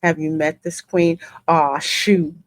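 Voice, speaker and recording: A woman hosting a gaming channel, reading game dialogue aloud in an expressive, theatrical way with casual reactions. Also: deep voice, takes it slowly, no room sound. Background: game music.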